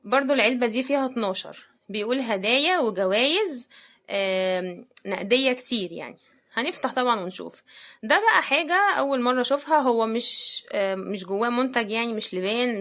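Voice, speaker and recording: Higher-pitched Egyptian woman, casual unboxing chat. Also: a bit bright. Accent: Egyptian Arabic. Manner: chatty, addresses viewers directly. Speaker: host of product unboxing videos. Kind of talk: casual unboxing commentary to viewers.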